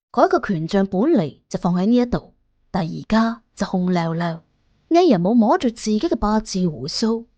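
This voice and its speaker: Expressive woman storyteller, very animated intonation. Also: medium pitch, dry recording. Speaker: audiobook narrator. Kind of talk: scripted suspense storytelling in Cantonese. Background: music under the voice.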